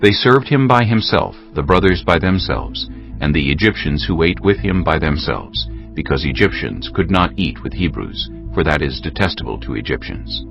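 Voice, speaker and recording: A man's voice: very deep voice, expressive, moderate pace, vocal fry, few pauses, fairly bright tone, muffled recording. A man narrating scripture as a scripted dramatic reading. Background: music.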